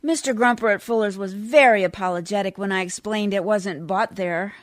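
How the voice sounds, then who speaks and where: A woman's voice: mid-range voice, brisk, dry recording, studio quality, natural voice. A woman playing a sly, scheming wife who coolly needles her husband, in 1940s radio comedy style. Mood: needling. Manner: coolly teasing, sarcastic.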